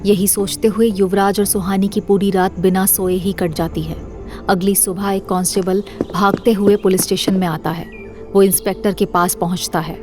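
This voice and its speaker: A woman's voice: medium pitch, little pitch movement, moderate pace, over music. A woman narrator reading a scripted horror story for an audio drama series.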